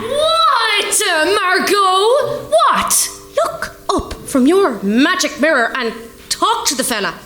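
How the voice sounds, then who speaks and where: A woman's voice: very high-pitched, big pitch swings, slow pace, bright, forceful tone, a little hiss or hum, heavily acted. A woman playing a bossy, impatient fairy in a comic radio play, commanding and exasperated. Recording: roomy live stage, music behind.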